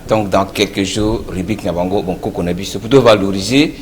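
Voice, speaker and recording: A man's voice: low-pitched, fairly even intonation, a little fast, slight background noise. A man appearing as a guest in a studio chat, patiently explaining his culture segment, with frequent hesitations.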